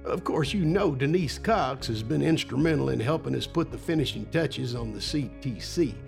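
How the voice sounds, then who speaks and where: A confident, keen time-travel scientist acted by a man in an audio drama. His voice is heavily fried and gravelly. He explains in an informal, assured way. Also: mid-range voice, animated, moderate pace, music in the background. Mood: easygoing.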